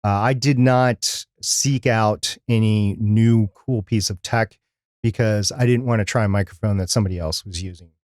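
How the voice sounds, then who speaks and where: A man's voice: fairly low pitch, fairly even intonation, moderate pace, darkish tone, no room sound. Middle-aged guy co-hosting a podcast, chatty and rambling, really dry recording. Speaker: podcast co-host. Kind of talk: casual conference recap on a live chat show.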